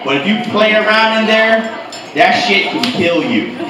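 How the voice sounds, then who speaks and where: A man's voice: high voice, expressive, moderate pace, background noise. A man from Hawaii telling crude stand-up stories on a comedy club stage. He talks loosely and keeps throwing asides to the crowd, into a handheld mic. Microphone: handheld mic, recorded by a camera far back in the room. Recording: echoey club audio from far off, loud crowd.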